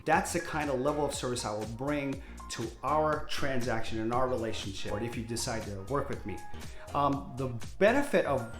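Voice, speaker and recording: A man's voice: medium pitch, animated, moderate pace, echoey room, soft background music. Chatty man in his fifties from Hawaii. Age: 50s. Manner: candid, talkative, upbeat salesman. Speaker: real estate agent. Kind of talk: direct-to-camera self-introduction.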